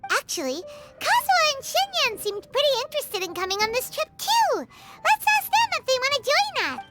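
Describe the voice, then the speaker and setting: A woman voicing a tiny, excitable fairy companion in a video game, squeaky and childlike, bossy and easily indignant. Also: normal speed. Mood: chirpy, teasing. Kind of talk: video game cartoonish dialogue. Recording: prominent music behind voice.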